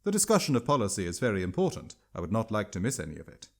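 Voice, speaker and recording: A man's voice: lowish voice, huge pitch swings, very fast, full character voice. A man voicing a wary, earnest petitioner in a storybook, polite but resistant. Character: wary, earnest male petitioner posing as minister.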